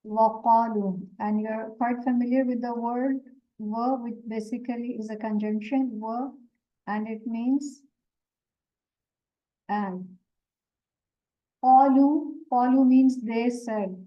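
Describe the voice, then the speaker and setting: A woman whose first language is Urdu explains the meaning of Quran verses word by word, measured and pausing with fillers. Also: fairly high pitch, fairly even intonation, lo-fi sound. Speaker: Quran teacher.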